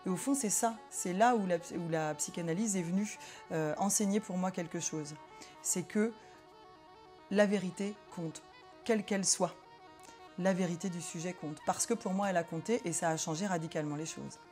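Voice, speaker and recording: Soft-toned woman speaking with thoughtful hesitation. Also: on the low side, faint music behind. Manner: hesitant, many pauses and fillers, thoughtful. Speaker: psychologist. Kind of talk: reflective personal interview.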